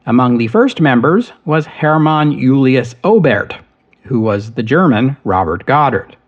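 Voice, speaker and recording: A man narrating history as a podcast host, in a measured, steady storytelling delivery. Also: medium pitch, highs cut off.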